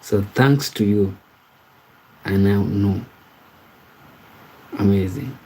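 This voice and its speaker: A man from Kenya hosting a casual livestream, reading viewer comments aloud and answering them in a halting way, with frequent repeats and restarts. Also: on the low side, mellow, slightly rough, poor audio. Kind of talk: casual live-stream chat.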